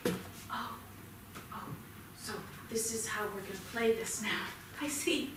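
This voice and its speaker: A woman's voice: on the high side, slow. An actress playing a protective, indignant mother in a staged play reading, flustered and defensive. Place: theatre stage, indoors. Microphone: distant room microphone. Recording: very echoey theatre, distant mic, noisy.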